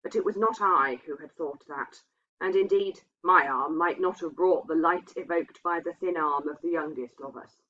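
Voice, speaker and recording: A woman's voice: deep voice, moderate pace. A woman reading a literary ghost story aloud as a narrator. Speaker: reader. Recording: dull, muffled lo-fi sound.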